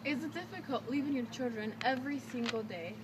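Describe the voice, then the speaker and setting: A woman's voice: high voice, fairly quick, natural voice. A woman playing a polite, inquisitive 1940s newscast field reporter, asking scripted interview questions. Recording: distant mic with clear noise.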